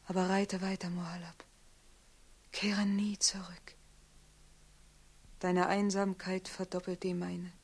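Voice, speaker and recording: A woman's voice: fairly low pitch, slightly rough, full character voice. An actress playing a devoted woman in a classic German radio play, with literary, poetic diction. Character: Shirin, devoted woman betrayed by her runaway lover.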